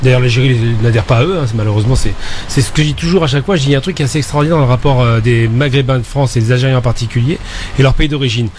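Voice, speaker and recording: A man's voice: mid-range voice, rapid-fire, darkish tone, a little hiss or hum. A man on a radio panel, debating in a casual, opinionated way.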